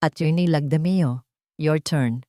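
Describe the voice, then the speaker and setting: A woman's voice: deep voice, measured pace, dark tone, dry recording, studio quality. A woman voicing a stern older female judge, formal and commanding, with a Filipino accent. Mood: official.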